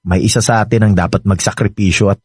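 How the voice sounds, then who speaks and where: An actor playing a devoted young Filipino boyfriend in a radio drama, sweet-talking, playful and coaxing. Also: male voice, fairly low pitch, steady tone, fast, husky, no echo, clean studio sound. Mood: tender, coaxing. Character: devoted, reassuring young boyfriend heading abroad.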